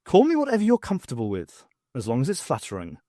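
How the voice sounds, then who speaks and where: A man's voice: mid-range voice, huge pitch swings, fast talker, mellow, no echo. A man voicing a self-assured woman archaeology professor in an audiobook, with a dry, teasing, composed manner. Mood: wry, playful.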